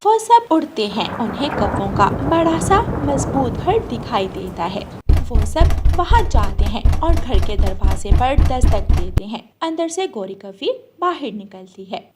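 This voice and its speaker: A woman's voice: a little high, very animated, unhurried, loud music behind the voice. A woman narrating a scripted children's story as the storyteller.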